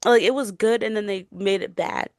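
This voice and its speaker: A woman's voice: medium pitch, steady tone, normal speed, no echo, studio quality. A young woman co-hosting a casual book chat in an informal, emphatic, opinionated way. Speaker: book podcast co-host.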